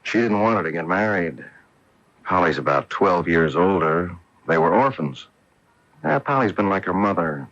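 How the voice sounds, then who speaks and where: An actor playing a plain-spoken, defensive widowed husband in a 1980s radio drama. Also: male voice, sits low, expressive, normal speed, a bit bright, full character voice. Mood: explaining. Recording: dull AM radio recording with music.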